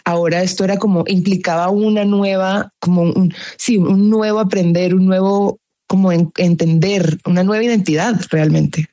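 A woman's voice: medium pitch, steady tone, moderate pace, few pauses, dark tone. A young adult woman from Colombia with a paisa accent, answering interview questions thoughtfully with many fillers and restarts. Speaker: actress guest.

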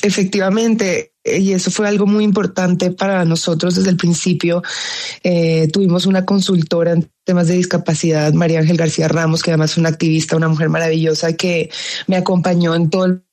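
A woman's voice: on the low side, level tone, a little fast, dark tone. Woman, Colombian paisa accent, young adult, interview guest, hesitant fillers, thoughtful. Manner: thoughtful, many fillers and restarts. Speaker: actress guest. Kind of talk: interview answers.

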